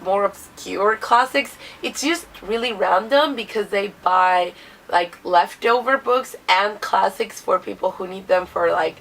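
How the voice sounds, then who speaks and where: A woman's voice: mid-range voice, a bit bright, far from the mic, poor audio. A young woman from Chile, a native Spanish speaker, with a cold, chatting casually to camera about books with lots of filler words. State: has a cold. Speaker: book vlogger. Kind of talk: casual vlog monologue.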